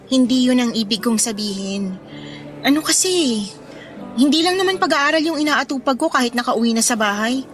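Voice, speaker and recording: A woman's voice: high-pitched, animated, normal speed. A woman playing a modest, self-doubting teenage schoolgirl in a Filipino radio drama, speaking in an earnest, conversational, pleading way with a Filipino accent. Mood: defensive, pleading. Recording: music under the dialogue.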